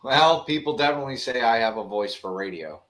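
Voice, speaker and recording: A man's voice: mid-range voice, lively intonation, fairly quick, a bit bright. A man chatting casually on a livestream panel, loose and swearing, laughing easily.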